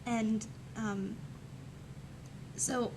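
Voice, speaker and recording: Young female panelist, fairly high and very even intonation, tentative, pausing to think, over clear rumbling noise. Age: teens to young adult. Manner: tentative, thinking aloud with pauses. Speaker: student panelist. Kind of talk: panel discussion answer.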